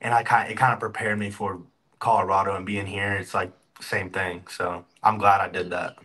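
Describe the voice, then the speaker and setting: A man's voice: deep voice, little pitch movement, moderate pace, pushed and bright. Upbeat young man from Texas. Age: young adult. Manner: easygoing, upbeat, many "like" fillers. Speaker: college football player guest. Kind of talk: casual interview answers. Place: indoor room, video call.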